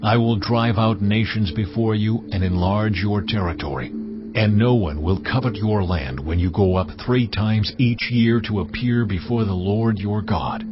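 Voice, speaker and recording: A solemn, commanding God speaks in a measured, lowish male voice, as a man voices the deity. The sound is slightly dull and lo-fi. Loud cinematic music plays behind him. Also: lightly acted. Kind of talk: dramatized scripture reading.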